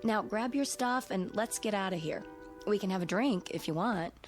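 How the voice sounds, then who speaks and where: A woman's voice: medium pitch, expressive, moderate pace, soft background music, lightly acted. An actress playing a breezy, pushy woman teacher nudging her friend in a radio drama, casual and wry in delivery. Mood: puzzled, insistent.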